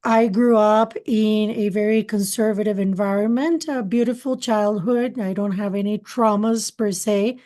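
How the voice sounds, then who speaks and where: A woman's voice: mid-range voice, a little slow, hardly any pauses, warm tone. A middle-aged woman from Costa Rica whose first language is Spanish, telling her life story earnestly as an interview guest. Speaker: guest, spiritual channeler. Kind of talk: interview answers, personal story.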